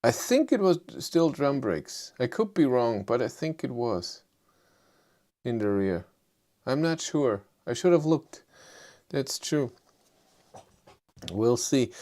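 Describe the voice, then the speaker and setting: Live host, male, thick German accent, mid-pitched voice, low-key and halting delivery. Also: animated, a little mellow. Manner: hesitant, low-key, many fillers, self-deprecating. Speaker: livestream host. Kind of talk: casual live chat answering viewers.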